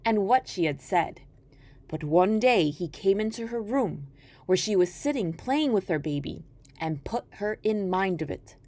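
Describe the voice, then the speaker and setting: A woman storyteller reading a folktale aloud in an expressive, scripted narration style. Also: mid-range voice.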